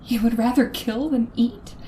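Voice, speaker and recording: A woman's voice: fairly high pitch, fairly even intonation, a little slow, dark tone, slight background noise, natural voice. A woman playing a nervous, frightened lone traveler in an old-time radio suspense drama, with a shaky, anxious and hesitant manner. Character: nervous red-haired woman driving alone at night, frightened. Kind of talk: old-time radio suspense acting, first-person narration.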